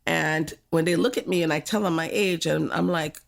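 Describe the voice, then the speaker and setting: Low, gravelly older woman from Jamaica. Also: fairly even intonation, normal speed. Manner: frank, laughing, reflective. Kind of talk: candid podcast conversation.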